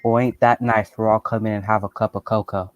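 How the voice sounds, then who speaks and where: Man playing a rough street bully, lowish dry voice, mocking, sneering, radio play. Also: little pitch movement, a little fast, no echo, highs cut off, lightly acted. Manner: sneering, colloquial. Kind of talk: 1940s radio drama acting.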